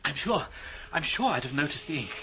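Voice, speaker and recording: A gentle priest detective from a radio play, with a bright, fairly high voice. He is courteous and hesitant, probing mildly with lively swings of pitch over faint background noise. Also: brisk, poor audio. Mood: puzzled, curious. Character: gentle, inquisitive middle-aged priest detective. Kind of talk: radio drama acting.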